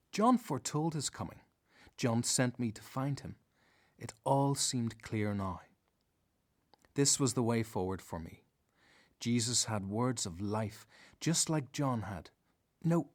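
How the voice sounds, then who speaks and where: An actor playing a devoted, reflective disciple who narrates his own story in a biblical radio drama, solemn and measured. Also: mid-range voice. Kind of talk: dramatized first-person narration.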